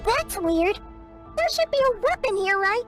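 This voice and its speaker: A woman voicing a tiny, excitable, childlike fairy companion in a video game, with a squeaky voice and naive, exclamatory manner. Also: measured pace, soft background music, heavily acted. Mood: curious. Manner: exclamatory, naive, refers to self in third person. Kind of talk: animated video game voice acting.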